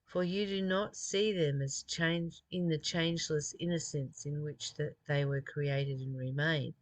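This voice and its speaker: Speaker from Australia, slow delivery, earnest, deliberate, reading aloud with reflective asides, dry sound. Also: medium pitch, steady tone, no echo. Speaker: host reading and commenting on a spiritual text.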